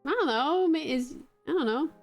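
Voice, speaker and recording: A woman's voice: high voice, lively intonation, moderate pace, soft background music. A woman chatting casually while playing a word game with friends, laughing often. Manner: chatty, laughs often, hums song lyrics. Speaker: game player. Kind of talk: casual gaming chat.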